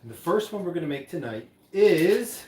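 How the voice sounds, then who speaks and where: A man's voice: on the high side, big pitch swings, moderate pace, a little mellow. A man hosting a live stream, chatting in a rambling, casual way about drinks. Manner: rambling, chatty, many restarts. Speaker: livestream host mixing drinks. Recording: echoey room, voice from far off.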